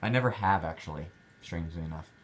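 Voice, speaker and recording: Young adult man, deep low voice, easygoing hobbyist vlog chat, rambling delivery. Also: quick pace, slight background noise. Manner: chatty, rambling, with many fillers and restarts. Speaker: card collector showing his cards.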